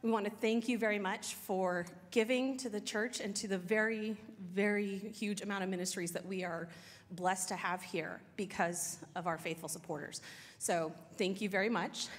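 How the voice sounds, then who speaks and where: A woman's voice: mid-range voice, fairly even intonation, moderate pace. A woman gives church announcements into a handheld mic, friendly and welcoming as she reads from her notes. Her voice sits in a mid register. The sanctuary adds a long, lingering reverb. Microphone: handheld microphone, far camera. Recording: reverberant hall.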